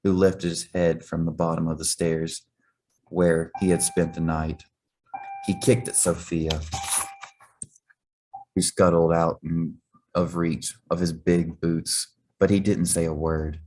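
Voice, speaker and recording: A man's voice: low-pitched, level tone, takes it slowly, pauses often, darkish tone, a little hiss or hum, poor audio. A man reading a children's story aloud as scripted narration. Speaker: reader.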